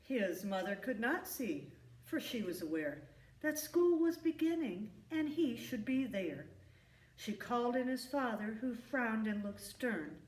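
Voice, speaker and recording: A woman reading a rhyming children's story aloud in an expressive, emphatic storytelling manner. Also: a little high, unhurried. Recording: echoey room, distant from the mic.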